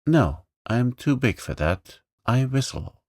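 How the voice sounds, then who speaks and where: Male reader doing a humble lame boy in a storybook: meek, higher voice, slow. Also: very animated, a little mellow, dry recording, clean studio sound, heavily acted. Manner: humble, plain-spoken, says "ain't"-style slang. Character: poor lame young boy, meek and cheerful.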